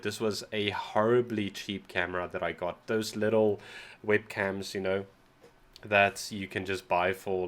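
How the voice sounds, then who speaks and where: A South African man whose first language is Afrikaans, slightly sick, chatting casually and rambling as the host of a music reaction channel. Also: on the low side. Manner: rambling, self-deprecating, often restarts words. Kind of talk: casual reaction video chat.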